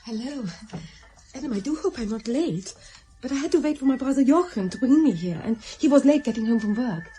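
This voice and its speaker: A woman's voice: sits high, lively intonation, brisk, mellow, a little hiss or hum. A woman playing a polite, shy young woman in a radio drama. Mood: shy, amused.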